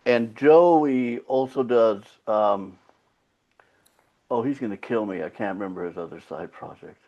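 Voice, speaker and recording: A Finnish-born singer whose first language is Finland Swedish, telling stories in a loose, profane way as an interview guest. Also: male voice, on the low side, measured pace, mellow. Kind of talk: casual interview chat. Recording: slightly dull lo-fi sound.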